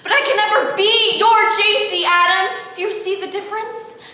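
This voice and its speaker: A woman's voice: very high voice, steady tone, normal speed, background noise. A woman voicing an articulate, pleading android woman built to look nineteen, heard distant with a long echoey reverb. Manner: articulate, pleading, increasingly distraught.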